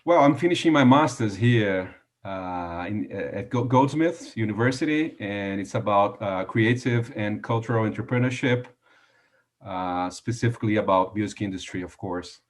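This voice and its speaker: A polite man from Brazil in his thirties answers interview questions over a video call, his speech halting and full of small hesitations. His voice sits lower than most men's. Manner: halting, with many "uh" fillers, polite. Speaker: guest. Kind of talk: live online interview.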